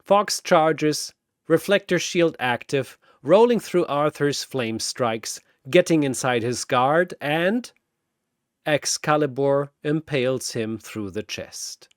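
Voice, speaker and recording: A man's voice: on the high side, expressive, slow pace, no echo, studio quality. A man narrating a scripted battle story dramatically, in theatrical, short, punchy phrases.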